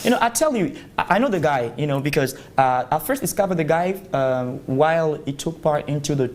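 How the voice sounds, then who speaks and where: A woman panelist with a slightly low, highly animated voice debates at a round table. She argues emphatically, swinging her intonation widely and repeating key phrases to press her point. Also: a little fast.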